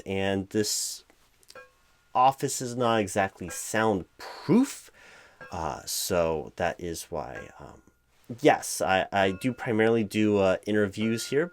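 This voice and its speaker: A man's voice: lowish voice, close to an everyday voice. A man playing a flustered, distracted, eager corporate hiring manager who rambles and stammers in a comedic job interview roleplay. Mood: flustered, reassuring. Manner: halting, stammering, easily sidetracked, apologetic.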